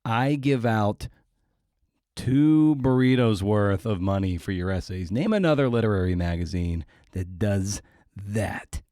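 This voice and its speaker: A man's voice: on the low side, measured pace, mellow, dry recording, studio quality. A man hosting a podcast, delivering a casual, irreverent intro with self-mocking jokes. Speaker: podcast host.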